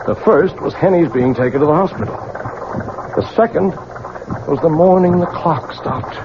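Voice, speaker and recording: A man's voice: on the high side, moderate pace, noisy background, natural voice. An actor playing a frantic, obsessed older man, telling his story in theatrical 1970s radio drama style. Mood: haunted, brooding. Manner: theatrical, intense delivery. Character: frantic clock-obsessed aging man recounting his ordeal. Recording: dull vintage radio recording, loud music behind.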